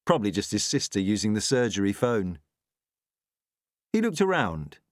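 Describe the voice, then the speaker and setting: A man's voice: on the low side, very animated, moderate pace, no echo, clean studio sound. A man reading a novel aloud as scripted audiobook narration.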